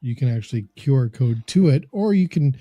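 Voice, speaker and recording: A man's voice: mid-range voice, lively intonation, brisk, dark tone, no echo. A man co-hosting a podcast, joking and bantering playfully. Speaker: podcast co-host. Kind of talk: casual podcast interview.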